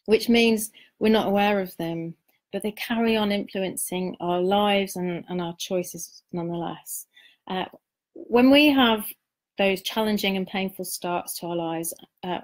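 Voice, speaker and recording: Middle-aged female spiritual coach, mid-range voice, informal live-stream delivery, introspective and open, somewhat halting. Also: mid-range voice. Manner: reflective, confiding, halting with pauses and repeated words. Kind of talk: informal live-stream talk sharing personal experience.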